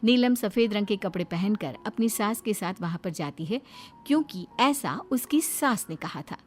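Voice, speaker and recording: A woman's voice: mid-range voice, animated, normal speed, a little mellow. A woman giving scripted storytelling narration for a moral story. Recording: background music under the voice.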